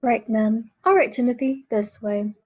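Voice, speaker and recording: Woman acting a cheerful young daughter, highish voice, obliging, radio drama, slightly muffled recording. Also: steady tone, a little slow.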